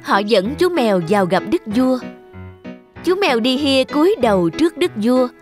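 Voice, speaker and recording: Casting: female storybook narrator, fairly high voice, scripted children's fairy tale with music underneath. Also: very animated, moderate pace.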